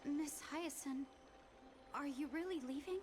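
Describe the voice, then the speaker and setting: A woman's voice: high-pitched, unhurried, darkish tone, faint music behind. A woman playing a timid, respectful young apprentice healer in a game, hesitant and deferential. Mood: worried. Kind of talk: scripted game dialogue.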